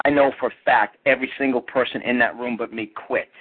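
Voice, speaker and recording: A man in his forties with a strong New York accent gives a punchy, energetic pep talk as a business coach. He is heard over a thin, tinny phone line, and his voice sits at an ordinary pitch. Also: quick pace, husky. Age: 40s. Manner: energetic, punchy motivational delivery. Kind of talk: motivational teleclass talk.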